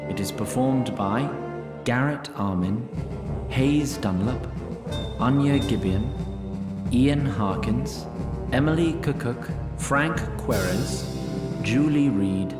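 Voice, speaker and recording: A man narrating a scripted fantasy story in a measured, formal storytelling style. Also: medium pitch, echoey room. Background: music.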